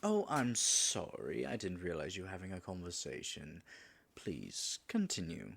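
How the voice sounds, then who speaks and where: Man playing a low, gravelly, wry dragon. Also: sing-song, a little slow, a bit bright, reverberant, heavily acted. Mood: feigned politeness. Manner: muttering to himself in asides, dry, sardonic. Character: all-powerful dragon in disguise, wry, self-conscious, jealous. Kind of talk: scripted audio roleplay monologue.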